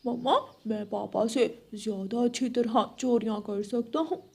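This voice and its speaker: A woman's voice: fairly high pitch, fairly even intonation, normal speed, creaky, a little mellow. A woman voicing a naughty young boy crow in a children's moral cartoon, pleading and whiny. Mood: eager, defiant.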